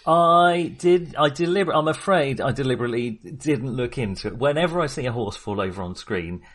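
A man's voice: medium pitch, expressive, brisk, a bit bright. A man co-hosting a casual film discussion, enthusiastic and rambling, often interrupting himself as he goes. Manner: sweary. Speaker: film discussion podcast host.

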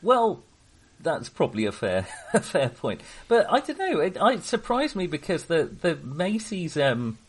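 A man with a husky voice hosts a casual film podcast. His pitch moves around in an animated way, and his delivery is wry and jokey. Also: unhurried. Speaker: podcast host.

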